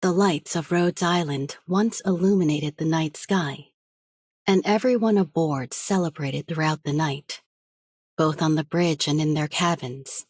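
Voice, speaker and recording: A woman's voice: low voice, a little slow, darkish tone, breathy edge, no echo, clean studio sound. A woman voicing a solemn, dignified leader in a game, with grave and formal delivery. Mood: solemn, nostalgic. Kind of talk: scripted game voice lines.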